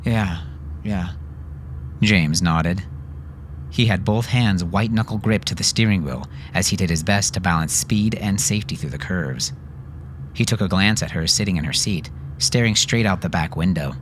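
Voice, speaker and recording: A man's voice: low voice, normal speed, a little hiss or hum. A man narrating scripted fiction dramatically, as an audiobook narrator.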